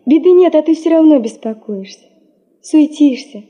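An actress in a radio play playing an affectionate teenage granddaughter who gently teases her grandfather. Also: animated, moderate pace. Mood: fond teasing. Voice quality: high, soft. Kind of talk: Russian radio play acting.

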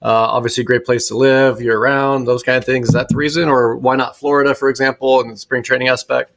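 A man's voice: mid-range voice, flat delivery, quick pace, vocal fry, fairly bright tone. Hesitant man co-hosting a podcast. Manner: hesitant questions with "uh" fillers. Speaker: podcast co-host. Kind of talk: casual interview chat.

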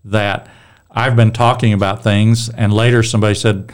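A middle-aged man with a strong, smooth, resonant voice leads a relaxed Bible discussion. His voice sits low, and he talks loosely, rambling and restarting his sentences as he goes. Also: normal speed. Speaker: Bible study leader.

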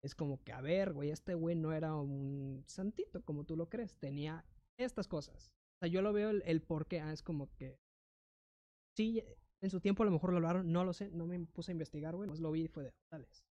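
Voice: medium pitch, fairly quick, mellow, no room sound, highs cut off. Slangy young Mexican speaker, rambling. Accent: Mexican Spanish. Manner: slangy and rambling, filler words, frequent restarts. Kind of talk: casual chat.

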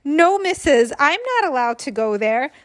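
A woman voicing an excitable, dramatic little schoolgirl in a children's storybook, with emphatic delivery and childish grammar. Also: sits high, normal speed, pitched above the performer's own voice. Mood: upset, dramatic. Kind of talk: children's storybook read aloud.

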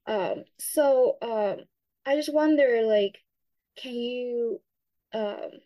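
A woman's voice: on the high side, drawn-out words, dull audio. A young woman hosting a podcast, asking questions in a hesitant way full of fillers. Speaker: podcast host. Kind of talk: podcast interview.

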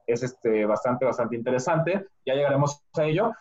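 A man from Mexico City with a Mexican accent, a guest telling his life story in a relaxed interview, full of filler words. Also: medium pitch, moderate pace. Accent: Mexican Spanish. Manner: many fillers like "este" and "¿no?". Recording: dull lo-fi audio.

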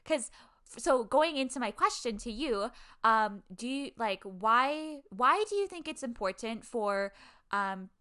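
A woman in her twenties from Hawaii, telling a personal story in casual chat, full of "like" and animated asides. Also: a little high, unhurried, clear, resonant tone. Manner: chatty, heavy use of "like", animated storytelling. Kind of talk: casual conversation. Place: video call.

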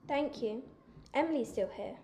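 A female performer playing a timid, kindly bullied orphan girl in a wartime drama, hesitant and gentle. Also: sits high, normal speed. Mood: subdued.

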